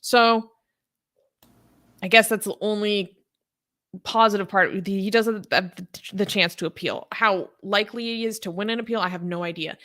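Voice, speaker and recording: A woman reads news stories aloud and then talks them through in a casual, chatty way, with plenty of fillers. Her voice is bright, and it climbs noticeably when she gets worked up about an injustice. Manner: animated, rises in pitch when outraged. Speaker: co-host reading news.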